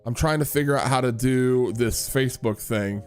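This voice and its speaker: A man's voice: mid-range voice, normal speed, clear, resonant tone, soft background music. A man hosting a livestream, chatting casually and rambling with many restarts as he answers viewers. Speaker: livestream host and worship leader.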